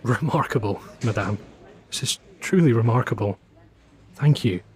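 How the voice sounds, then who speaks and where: A man's voice: medium pitch, lively intonation, moderate pace, natural voice. Actor as a polite, eager newspaperman. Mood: impressed, obliging. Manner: polite, obliging. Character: deferential, eager male newspaper interviewer. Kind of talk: audio drama acting.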